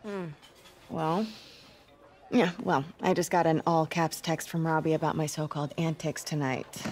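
A woman's voice: sits low, fairly even intonation, moderate pace, darkish tone, soft background music. An American woman in her twenties playing a candid, guarded young reporter in a game cutscene, wry and probing. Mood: wry, curious. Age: 20s. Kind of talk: naturalistic video game cutscene acting.

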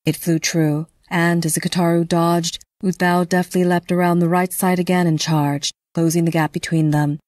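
A woman reading scripted narration for a dark fantasy audio drama. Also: fairly low pitch, flat delivery, moderate pace, hardly any pauses, no echo, studio quality.

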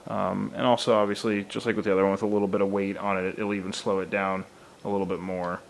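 A hoarse young man in his twenties, casually explaining a home project while filming on a handheld phone, with lots of ums. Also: low-pitched, flat delivery, fast, a little hiss or hum. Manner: rambling, many ums, explaining while handling gear. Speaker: hobbyist showing a Halloween haunt build. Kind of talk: casual filmed walkthrough. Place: room in a home, indoors. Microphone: handheld phone camera.

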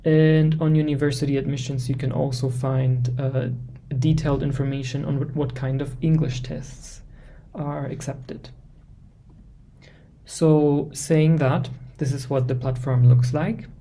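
Young man with a gravelly dark voice. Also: mid-range voice, little pitch movement, a little hiss or hum. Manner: measured, explanatory, with frequent fillers. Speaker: admissions presenter. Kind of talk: webinar presentation. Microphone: video call.

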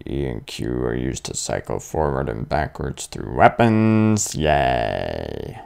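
A young man playing a video game and commenting on it, muttering slowly and then yelping loudly in fights, with faint game music behind. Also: rumbling low, sing-song, vocal fry, clear, resonant tone. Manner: slow, mumbling asides that jump to loud yelps in fights. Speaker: gaming video host. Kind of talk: let's play commentary. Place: indoor room with webcam.

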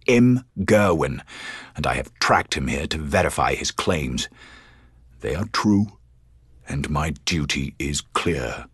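A haunted, resolute English sea captain, narrates a letter for a board game. His voice is extremely low and husky, with formal, theatrical phrasing full of foreboding. The recording is dry, with no room sound. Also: a bit bright, no room sound, full character voice. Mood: urgent, alarmed. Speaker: actor reading a game's introduction letter.

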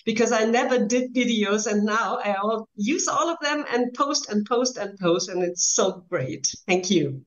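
A woman's voice: on the high side, expressive, measured pace, few pauses. Older German-accented woman on a call. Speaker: guest. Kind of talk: casual chat. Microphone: video call webcam. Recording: dull lo-fi call audio.

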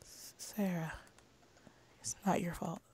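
A woman's voice: fairly low pitch, fairly even intonation, slow, a little mellow, in a whisper. Woman playing an anguished, terse young man. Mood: weak, grieving. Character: anguished, angry young man, visual novel protagonist.

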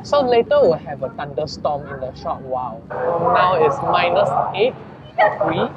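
A man's voice: on the high side, expressive, unhurried, dull audio. A man in his thirties narrating a casual travel vlog indoors into a handheld camera. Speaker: travel vlogger. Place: indoor visitor attraction. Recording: very noisy on-location camera sound.

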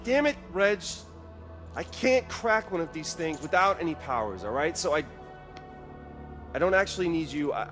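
An actor playing a headstrong young superhero, a cocky little brother who talks urgently and stammers in a video game. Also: male voice, on the high side, lively intonation, normal speed, pauses often, over music, natural voice. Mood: determined. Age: young adult. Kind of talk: cinematic video game acting.

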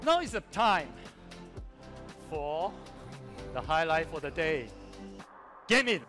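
A man's voice: a little high, sing-song, slow pace, music in the background. A man hosting a live keynote on stage, bantering playfully with guests. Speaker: keynote host. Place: large stage in an arena hall.

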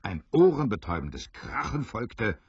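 A man narrating an adventure radio play as a grave, expressive storyteller who builds suspense. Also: on the low side, normal speed, slightly rough, no room sound, full character voice. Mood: dramatic. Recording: slightly dull, dry studio recording.

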